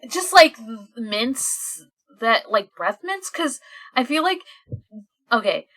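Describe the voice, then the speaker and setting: Female podcast co-host, informal and animated, bright tone with lively pitch swings. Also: slow. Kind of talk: casual chat.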